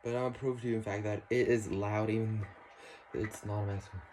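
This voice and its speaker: A man hosting a casual hands-on how-to video indoors, explaining in a halting, informal way. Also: lowish voice, fairly even intonation, a little mellow. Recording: noisy room with clicks and handling sounds.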